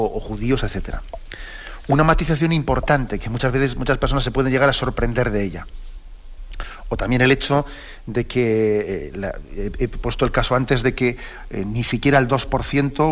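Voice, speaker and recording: A man's voice: mid-range voice, muffled recording. Discursive male radio catechism host. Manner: discursive, many "eh" and "¿no?" tags.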